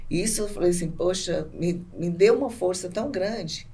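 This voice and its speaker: Lower-voiced Brazilian woman as podcast guest. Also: normal speed, warm tone, husky. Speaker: podcast guest, business owner. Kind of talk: casual conversational interview.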